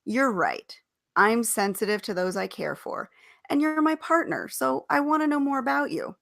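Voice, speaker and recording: Woman acting a feisty, creaky-voiced female agent. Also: a little high, sing-song, moderate pace, fairly bright tone, pitched above the performer's own voice, heavily acted. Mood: sweetly probing. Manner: pushy, emphatic questioning.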